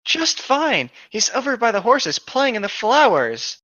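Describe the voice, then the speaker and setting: A man's voice: very high voice, wildly swooping pitch, unhurried, pushed and bright, no room sound, lo-fi sound, voice pushed up, heavily acted. A man voicing a small, cheerful, helpful fairy companion in a comic read-aloud of an amateur story.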